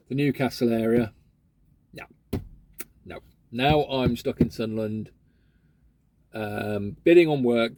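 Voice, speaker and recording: Mellow-voiced man grumbling casually. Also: mid-range voice. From England. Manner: chatty, grumbling, swears casually. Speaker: van courier vlogger. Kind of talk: casual vlog monologue.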